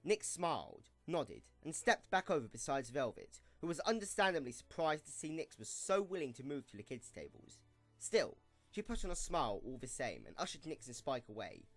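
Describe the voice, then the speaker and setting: A man's voice: mid-range voice, very animated, brisk, no room sound. A man reading scripted storybook narration as the narrator of an audio drama. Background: music.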